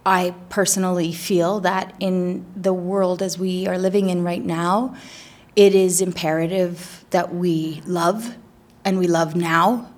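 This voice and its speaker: A woman's voice: on the low side, fairly even intonation, takes it slowly. A woman, a theatre director, talking earnestly in an interview and searching for her words. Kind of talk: reflective interview.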